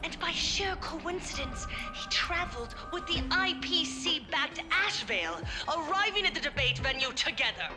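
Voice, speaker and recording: A woman's voice: high voice, animated, normal speed, few pauses. An actress voicing a scheming, persuasive female broadcaster in a game. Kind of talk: voiced game dialogue. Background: game music.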